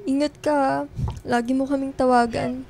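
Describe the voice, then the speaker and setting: A woman's voice: sits high, little pitch movement, moderate pace, over music. A woman playing a loyal, guarded young best friend with a blunt, natural delivery in radio drama dialogue. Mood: teasing, reassuring.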